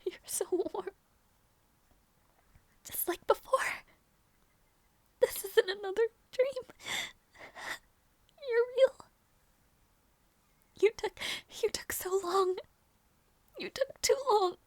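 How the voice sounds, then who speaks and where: A woman playing a gentle young princess in an intimate audio roleplay, devoted and emotional, with a breathy, halting delivery. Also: very high-pitched, dry recording. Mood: pleading, tender. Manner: breathy, halting, stammering, often near whisper.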